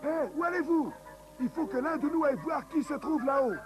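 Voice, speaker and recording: High-voiced bossy cartoon dwarf leader. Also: male voice, fairly quick, poor audio. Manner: commanding, scolding. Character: bossy grumpy dwarf leader with an aching back. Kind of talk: dubbed children's cartoon acting.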